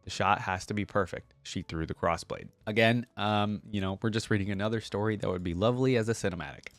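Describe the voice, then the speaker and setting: A man's voice: on the low side, fast talker, no room sound. A man hosting a reaction video, reading stories aloud and commenting in a laid-back, colloquial fan style. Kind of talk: casual commentary and story reading.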